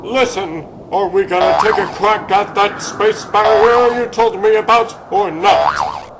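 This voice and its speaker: A man's voice: high voice, big pitch swings, unhurried, music in the background. A man voicing a plucky, sarcastic little talking rabbit superhero in a cartoon comic dub. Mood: casual, impatient. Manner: sarcastic, chatty, quipping. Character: wisecracking, plucky three-foot talking rabbit superhero, male.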